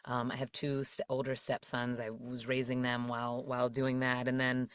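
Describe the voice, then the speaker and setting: A woman in her thirties from the Washington DC area chats as a podcast guest with a low voice. She talks with plenty of fillers and easy laughter. The close mic gives a dry sound with no room echo. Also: monotone, moderate pace, clean tone. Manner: chatty, laughs often, many fillers. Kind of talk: casual interview chat.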